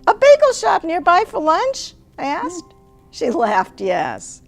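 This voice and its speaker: A middle-aged or older woman giving an expressive, theatrical reading of a personal story. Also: very high voice, takes it slowly, gravelly. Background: music.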